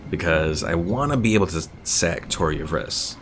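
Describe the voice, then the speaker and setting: A man's voice: low voice, expressive, normal speed, a little hiss or hum. A man casually commentating a strategy game as he plays, thinking aloud and reading game text out. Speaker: gaming streamer. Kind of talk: casual let's-play commentary.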